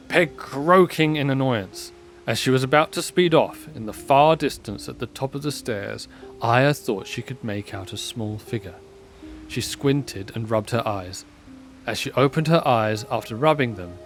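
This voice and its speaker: A man reading scripted story narration as the narrator of an audio drama. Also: mid-range voice.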